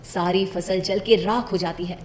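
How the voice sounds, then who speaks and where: A woman's voice: medium pitch, fairly even intonation, unhurried, a little mellow, reverberant, a little hiss or hum. A woman reading a scripted cartoon moral story as its narrator.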